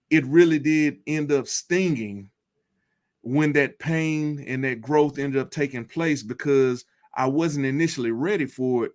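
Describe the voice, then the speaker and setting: A man with a mid-pitched voice gives personal testimony as an interview guest. His delivery is earnest and deliberate, with a reflective way of laying out his thoughts. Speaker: guest telling his recovery story.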